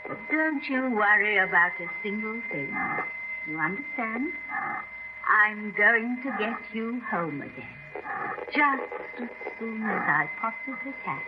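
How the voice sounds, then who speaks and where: An actress playing a doting, quietly vengeful wife in a radio drama, cooing deliberately with baby-talking condescension. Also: female voice, medium pitch, lively intonation, lightly acted. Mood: falsely tender. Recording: muffled, very noisy old radio recording.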